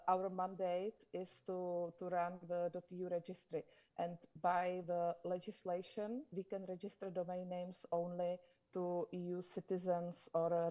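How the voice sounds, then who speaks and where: Czech-native woman, halting panel answer. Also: fairly low pitch, warm tone, lo-fi sound. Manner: halting, lots of fillers and restarts. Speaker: conference panelist from a domain registry.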